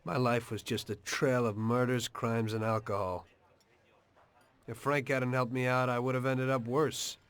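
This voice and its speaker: A man's voice: medium pitch, little pitch movement, a little slow, smooth, lightly acted. A man playing a weary, troubled gangster in a crime video game. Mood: weary, reflective. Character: weary, troubled male gangster, former taxi driver. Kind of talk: confessional narration and dramatic game dialogue.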